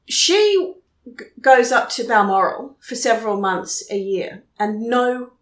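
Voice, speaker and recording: An Australian woman working as a photojournalist, telling stories in a chatty, lively way during a casual interview at her home. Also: fairly high pitch, takes it slowly, reverberant. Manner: chatty, animated storyteller. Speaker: photojournalist guest. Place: room in her house.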